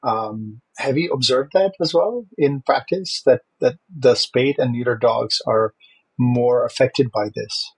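Husky-voiced man. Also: mid-range voice, a little slow, low-quality recording. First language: Czech. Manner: thoughtful, with frequent fillers and restarts. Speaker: host. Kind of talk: conversational interview.

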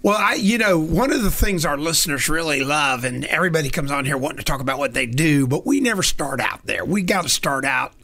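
A man's voice: fairly high pitch, lively intonation, fairly quick, creaky, few pauses, bright, forceful tone, no echo. A man hosting a podcast, chatting in an upbeat, enthusiastic way with his guest. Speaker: host. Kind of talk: casual podcast interview.

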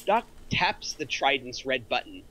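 A man's voice: mid-range voice, very animated, measured pace, pushed and bright, slight background noise. A middle-aged man reading out screenplay action lines as a narrator. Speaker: narrator of a script table read.

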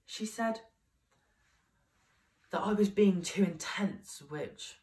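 A man's voice: high-pitched, slow pace, a little mellow. A young man playing a rambling party-boasting lad. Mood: wistful. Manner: rambling storytelling full of "like". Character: excitable young man recounting a wild party. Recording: voice picked up from a distance.

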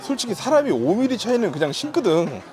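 A man's voice: high voice, very animated, quick pace, darkish tone. A man talking casually as a vlogger husband, narrating and chatting. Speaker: vlogger husband filming his wife. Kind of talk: casual vlog talk and narration. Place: voice-over and on location in an outlet shoe store. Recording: background music and crowd noise. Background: music, shoppers' chatter.

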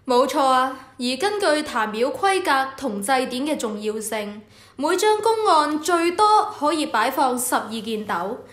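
A temple official acted by a woman speaks in a high voice with a Hong Kong Cantonese accent. The manner is authoritative and instructive, as in a scripted radio drama, and the sound is roomy and echoing. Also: big pitch swings, unhurried, a bit bright, full character voice. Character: official in charge of the Temple of Heaven, instructive superior. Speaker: voice actor.

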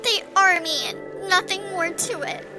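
Woman doing tiny high schoolgirl, hurt n guarded, roleplay story, music behind. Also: measured pace. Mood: hurt, defiant. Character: hurt, wary young schoolgirl. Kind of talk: amateur voiced animated game roleplay drama.

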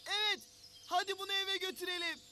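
A man voicing an excitable, mischievous young animal in a children's cartoon, eager and playful. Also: extremely high pitch, a little fast, noisy background. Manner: eager, playful, makes sound effects.

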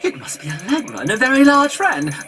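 A plucky robot sidekick speaks in a fairly high voice that swings widely in pitch. The delivery is articulate, wry and protective, as in a video game cutscene. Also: female voice, big pitch swings, fairly quick, fairly bright tone. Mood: wry, thoughtful. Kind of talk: scripted video game dialogue. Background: game music.

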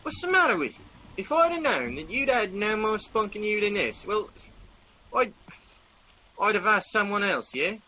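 In a long echoing space, a greedy, bullying servant. His voice sits high and swings widely as he orders and sneers in radio mystery style. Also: sing-song, normal speed, pauses often, pushed and bright, heavily acted. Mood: scornful. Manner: bullying, scornful, tags remarks with "yeah?". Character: cruel, greedy, scheming young working-class male servant. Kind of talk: radio mystery drama acting. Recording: long reverb, faint background noise.

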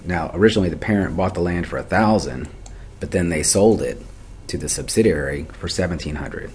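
Very deep-voiced man teaching, noisy recording. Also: brisk, a little mellow. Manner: methodical, step by step, poses questions then answers them. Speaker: accounting instructor. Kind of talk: explanatory lecture for a video tutorial.

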